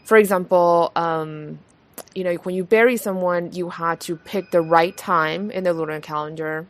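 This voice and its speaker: A woman's voice: on the low side, steady tone, normal speed. A woman from Taiwan hosting a podcast, chatting casually with many fillers and restarts. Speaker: podcast host. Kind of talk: casual conversation.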